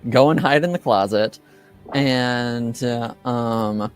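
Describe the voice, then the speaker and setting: A man working as game master, improvising tabletop game narration hesitantly, with many halting pauses. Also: mid-range voice, clean tone, soft background music.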